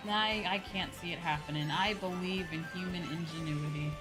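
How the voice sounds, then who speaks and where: A woman's voice: lowish voice, steady tone, moderate pace, low-quality recording. A woman streaming casually as she plays a game, talking in an opinionated, emphatic way and swearing freely. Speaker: streamer, VTuber host. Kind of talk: casual livestream chat while gaming. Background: video game music.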